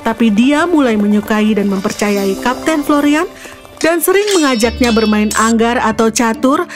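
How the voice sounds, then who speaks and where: Woman, storyteller, fairly high voice, scripted fairy tale narration, music underneath. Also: expressive, normal speed. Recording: music behind the voice. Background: background music.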